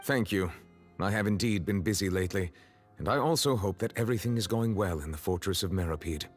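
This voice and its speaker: A man playing a dignified, reserved high official in a video game, speaking formally and politely in measured phrases. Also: animated, soft background music. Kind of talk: video game character acting.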